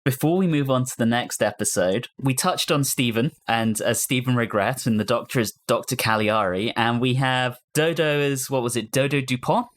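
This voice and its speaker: A man's voice: mid-range voice, normal speed, hardly any pauses. A man hosting a casual panel discussion podcast, talking conversationally with fellow hosts. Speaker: podcast host.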